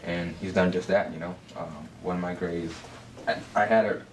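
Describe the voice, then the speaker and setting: Deep-voiced young man sharing a testimony. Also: steady tone, moderate pace. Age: young adult. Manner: chatty, joking, filler-heavy. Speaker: student group member. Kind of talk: casual testimony. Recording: echoey room, distant mic, background noise.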